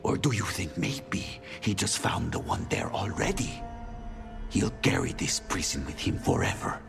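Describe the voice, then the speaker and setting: A doom-saying assassin in a game drama, low and creaky. He needles with bitter rhetorical questions in a very lively, brooding delivery, with music underneath. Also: unhurried, full character voice. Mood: needling, brooding. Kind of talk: dramatic video game acting. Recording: music behind the voice.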